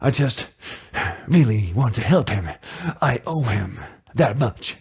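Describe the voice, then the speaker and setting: A performer voicing an earnest young male rookie lawyer from a video game, brooding and deliberate. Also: medium pitch, lively intonation, a little mellow, slightly rough, pitched below the performer's own voice, heavily acted.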